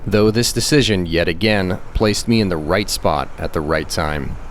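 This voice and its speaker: Deep-voiced man narrating a travel story. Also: a little hiss or hum. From United States. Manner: calm, measured storytelling. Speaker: travel vlogger. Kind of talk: scripted voice-over narration. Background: background music.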